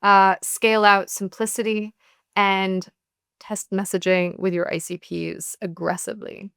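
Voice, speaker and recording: Upbeat female podcast host, smooth voice. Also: medium pitch, flat delivery, a little slow, a bit bright, no echo, studio quality. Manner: upbeat, wraps up crisply. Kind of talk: podcast hosting.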